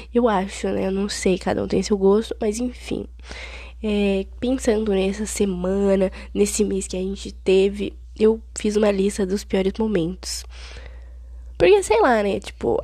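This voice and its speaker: A Brazilian teenage girl chatting through her own podcast, her voice congested from a cold, rough with vocal fry and a husky edge. She rambles and complains with dramatic flair. Her tone sits a bit high yet mellow and dark. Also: no echo, clean studio sound. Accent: Brazilian Portuguese. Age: late teens. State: stuffy-nosed with a cold. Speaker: podcast host. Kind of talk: casual solo podcast chat.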